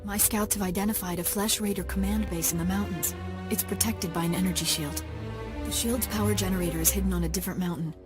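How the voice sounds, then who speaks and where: Woman playing a dignified matriarch, gentle, formal, earnest, mid-pitched. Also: steady tone, fast, natural voice. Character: dignified young woman leader, newly matriarch. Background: game score music.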